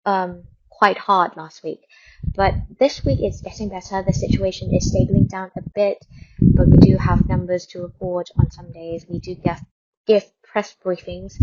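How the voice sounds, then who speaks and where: A woman's voice: fairly low pitch, darkish tone, a little hiss or hum. Woman radio host, dull lo-fi sound. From Thailand. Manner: informal, rambling, repeats and emphasises words. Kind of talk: casual radio chat and news update.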